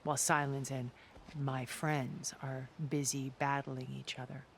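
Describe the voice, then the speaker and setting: An actress playing a cool, haughty, middle-aged schemer in a video game, speaking in a measured, formal and wry manner. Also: female voice, extremely low pitch, level tone, gravelly, slight background noise, full character voice. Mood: persuasive, cool. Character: cool, calculating woman schemer, haughty and persuasive. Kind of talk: dramatic video game cutscene acting.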